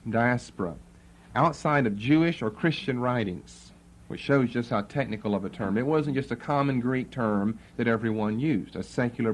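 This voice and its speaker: A man giving a Bible lecture to a class, spelling out words letter by letter and repeating key terms slowly. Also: mid-range voice. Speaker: Bible teacher. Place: classroom with students writing.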